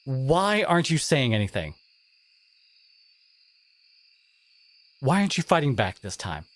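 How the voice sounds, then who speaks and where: An actor plays an abrasive teenage school bully with a hidden caring streak in an audio roleplay. The delivery swings widely in pitch, exasperated and confrontational. Words land with emphasis, speaking straight to the listener. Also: big pitch swings, fairly quick, no room sound, lightly acted. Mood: exasperated, goading. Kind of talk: dramatic audio roleplay monologue addressed to listener.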